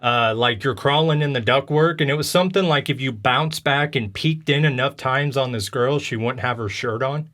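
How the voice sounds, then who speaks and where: A man's voice: medium pitch, moderate pace, few pauses, fairly bright tone. A man talking casually and with strong opinions as a podcast host discussing video games, telling stories informally.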